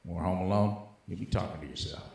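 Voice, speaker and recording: A man's voice: sits low, quick pace, gravelly. Profane male stand-up comic in a roomy space. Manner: profane, animated storytelling with acted-out bits. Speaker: stand-up comedian, special guest. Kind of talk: stand-up comedy set. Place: live show on a stage before an audience. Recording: roomy live stage sound.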